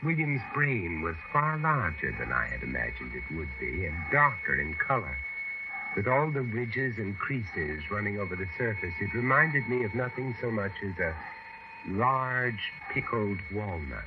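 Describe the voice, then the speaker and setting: Uneasy gentleman narrator, low-pitched, deliberate, suspenseful, formal, 1970s radio horror, muffled and very noisy recording. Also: big pitch swings. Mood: uneasy, fascinated. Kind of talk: dramatic first-person radio horror narration.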